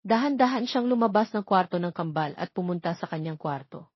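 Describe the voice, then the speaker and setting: A woman's voice: lowish voice, expressive, brisk, breathy edge, dry recording, lo-fi sound. A woman reading scripted story narration as the narrator of an audio romance.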